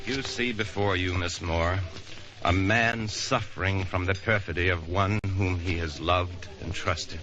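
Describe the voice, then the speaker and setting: An actor playing a scheming, self-satisfied lawyer in an old radio crime drama, with wordy, theatrical, formal diction. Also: male voice, deep voice, steady tone, a little slow. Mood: feigned anguish. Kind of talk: 1940s radio noir acting with narration. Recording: muffled old radio recording, music behind.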